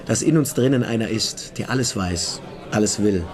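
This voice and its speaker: An actor playing a calm, philosophical and superior young friend in a literary radio play. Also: male voice, fairly low pitch, normal speed, close to an everyday voice. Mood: calm, earnest.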